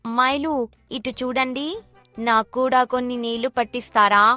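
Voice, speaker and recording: A woman's voice: a little high, steady tone, moderate pace, over music, natural voice. A woman voicing an elderly, plain-spoken village woman in a cartoon moral story. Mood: pleading.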